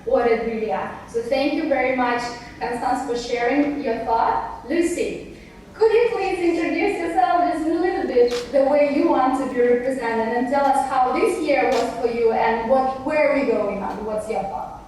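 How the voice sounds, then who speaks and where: A woman's voice: high voice, a little mellow, slight background noise. A woman moderating a panel in an upbeat way, handing over between speakers. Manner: upbeat, repeats praise, brisk transitions. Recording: echoey hall from far off.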